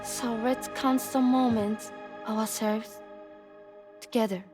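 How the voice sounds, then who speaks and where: A woman's voice: on the high side, fairly even intonation, music in the background. A woman playing a tender, devoted young woman in a video game cutscene, speaking earnestly. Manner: earnest, pleading, unhurried.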